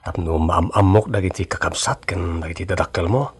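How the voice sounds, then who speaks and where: An actor with a Filipino Ilocano accent playing a polite, determined suitor of twenty-three in a radio drama, with a husky voice. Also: male voice, low-pitched, fairly even intonation, brisk, full character voice. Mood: earnest, reassuring. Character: earnest 23-year-old suitor, polite and determined.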